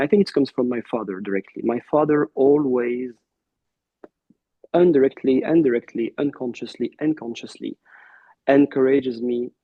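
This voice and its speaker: A man from Belgium who first spoke Arabic, a guest in a casual interview, talking in a warm, reflective way with many restarts and fillers. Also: mid-range voice, breathy edge, dry recording, muffled recording.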